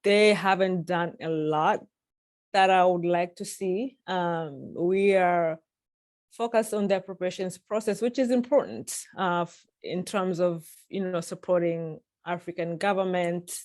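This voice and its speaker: A woman from Tanzania speaks on a webinar panel over a video call, in a slightly low voice. She talks earnestly, often pausing on ums and restarting her phrases. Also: fairly even intonation, a little mellow. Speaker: church policy panelist.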